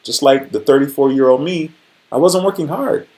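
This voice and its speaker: A man's voice: medium pitch, normal speed, mellow. A man in his forties hosting a livestream, chatting casually and conversationally, often checking in with his listeners. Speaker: livestream host. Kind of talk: casual motivational livestream chat.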